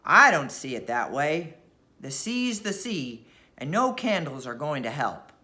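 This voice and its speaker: Woman doing a blunt, forceful sailor man. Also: low voice, big pitch swings, a little slow, voice pushed down, heavily acted. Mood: skeptical. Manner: blunt, emphatic. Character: gruff, skeptical sailor man, blunt and loud. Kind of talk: storybook character voice.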